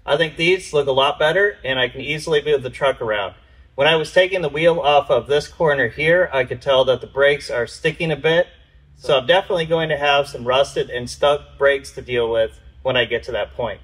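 A man in his thirties hosting a car restoration video, calmly and methodically explaining his work in a large indoor garage. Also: medium pitch, fairly quick, husky, low-quality recording. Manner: calm, methodical, matter-of-fact. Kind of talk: explanatory workshop walkthrough.

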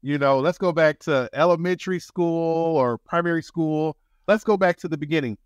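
A man's voice: a little high, normal speed, clean tone, no room sound, studio quality. A man in his thirties hosting a podcast, asking questions in a conversational interview style. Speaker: podcast host. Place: indoor room, video call.

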